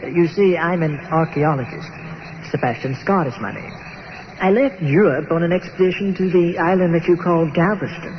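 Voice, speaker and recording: An actor playing a courteous, earnest archaeologist from the nineteenth century, stranded on an island, telling his tale formally and haltingly in old time radio style. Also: a little high, a little hiss or hum, lightly acted. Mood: dramatic recounting. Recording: muffled radio-show recording with music behind.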